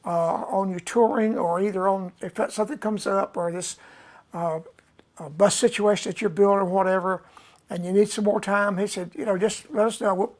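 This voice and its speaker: A man, chatting casually and unhurriedly to his live audience as a musician host, with many pauses. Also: high-pitched. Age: 70s. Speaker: musician hosting a live stream. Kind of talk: rambling casual chat.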